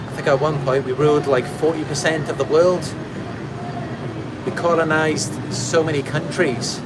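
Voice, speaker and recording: A man's voice: fairly high pitch, fairly even intonation, moderate pace, heavy background noise. A Welsh man from Wales, a channel host, reading out viewer comments and replying in a reflective, casual way with fillers and restarts. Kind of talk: casual talk to camera.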